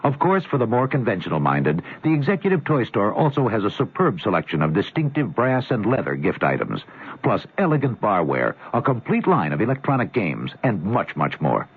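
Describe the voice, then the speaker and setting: A man reading a radio ad in a smooth, salesy delivery. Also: fairly low pitch, big pitch swings, brisk. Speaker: radio ad announcer. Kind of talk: scripted radio ad read. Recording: tinny old radio broadcast.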